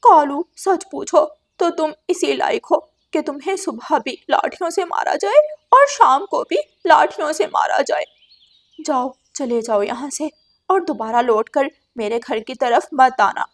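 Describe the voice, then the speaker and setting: A woman's voice: sits high, expressive, a little slow. A woman voicing a heartfelt, firm mother crow in a cartoon, earnest and emphatic. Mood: scornful.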